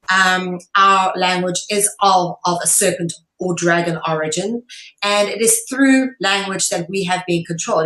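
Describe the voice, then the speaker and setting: A woman's voice: medium pitch, a bit bright. A woman being interviewed, explaining her ideas at length in an earnest, emphatic, lecturing way. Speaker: interview guest, online show presenter. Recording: echoey room.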